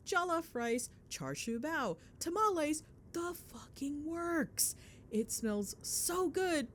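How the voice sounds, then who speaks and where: Young girlfriend played by a woman, ASMR roleplay call: excitable, playful, lively swooping intonation, affectionate teasing. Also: high-pitched, full character voice. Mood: excited. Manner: playful, chatty, expressive, mildly sweary.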